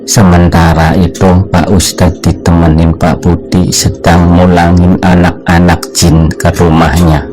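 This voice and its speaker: A man's voice: low voice, level tone, unhurried, darkish tone. A man narrating a cartoon story in a matter-of-fact way, with music behind. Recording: music in background.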